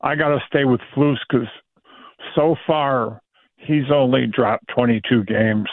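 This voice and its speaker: A man's voice: medium pitch, unhurried, no echo. A wry man calls in to a sports talk show and pokes fun at himself. His voice comes through a narrow, tinny phone line with no room sound. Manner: wry, self-deprecating. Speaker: caller. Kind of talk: call-in to a sports radio show. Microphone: telephone.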